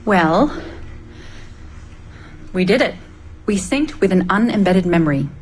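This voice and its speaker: An actress playing an earnest, wry, driven research scientist in a video game. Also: female voice, mid-range voice, lively intonation, unhurried, natural voice. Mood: exasperated, earnest. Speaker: researcher and test subject.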